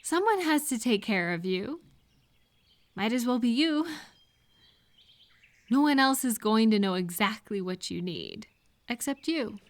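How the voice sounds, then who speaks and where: A woman plays a supportive female friend in a casual, intimate audio roleplay. Her voice sits a little high and she speaks slowly. She is gentle and reassuring, talking straight to the listener. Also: lively intonation. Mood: calm, reassuring.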